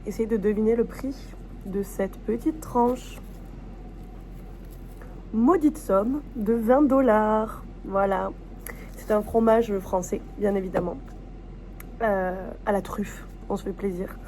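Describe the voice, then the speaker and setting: A woman's voice: mid-range voice, slow, pauses often, dark tone, slight background noise, low-quality recording. A woman from southwest France talking candidly and informally in a casual personal vlog. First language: French. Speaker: vlogger.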